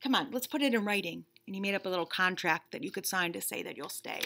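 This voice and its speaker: A woman's voice: medium pitch, animated, fast talker, creaky, pushed and bright, slight background noise. A woman in her thirties reading a picture book aloud expressively, with emphatic, instructive delivery, like a teacher. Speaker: children's read-aloud storyteller, teacher. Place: small indoor room, seated.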